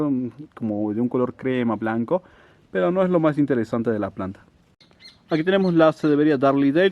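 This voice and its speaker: A man's voice: mid-range voice, no room sound, dull audio. A man from in a casual, chatty show-and-tell, often asking "¿no?" as he talks. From Salta, Argentina. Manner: chatty, unhurried, frequent "¿no?" asides. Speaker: succulent grower, channel host.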